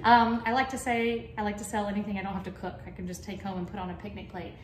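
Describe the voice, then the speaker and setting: A woman's voice: mid-range voice, little pitch movement, fast talker. A woman with a Texas accent, from Texas, chatting enthusiastically as she teaches a cheese tasting class. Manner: chatty and enthusiastic, folksy asides. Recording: echoey room, faint background noise.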